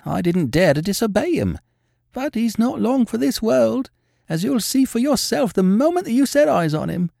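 An anxious older landlady, acted out by a man, pleads in a high, swooping voice. The delivery is urgent and deferential, full of storybook distress, with a close, dry sound. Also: big pitch swings, normal speed, creaky, dark tone, no echo, heavily acted. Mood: anxious, resigned.

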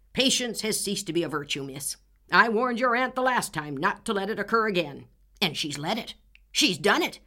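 A woman's voice: mid-range voice, sharp tone. A woman voicing an irate, blustering old farmer who splutters with rage.

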